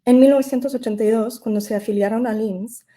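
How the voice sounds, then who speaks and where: A woman who is not a native Spanish speaker, reading a prepared academic lecture as an invited speaker, measured and careful with occasional self-corrections. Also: a little high, steady tone, mellow.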